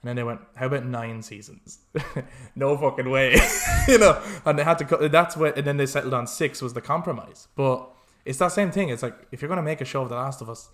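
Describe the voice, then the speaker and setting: A man hosting a casual podcast, talking in an animated, rambling, opinionated way. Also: medium pitch, a little fast. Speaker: podcast host.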